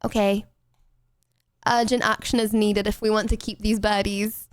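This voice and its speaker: A young British woman streaming a game, chatting casually with her chat and breaking into playful, excited exclamations. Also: medium pitch, moderate pace, smooth, dry recording. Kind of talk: casual gameplay commentary to chat.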